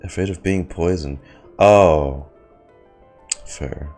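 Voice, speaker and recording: Deep-voiced man reacting to a game. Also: slow pace, darkish tone. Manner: muttered short reactions, sweary. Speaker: streamer reacting to a video game. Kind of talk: casual gameplay reaction commentary. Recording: game music behind the voice. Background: video game music.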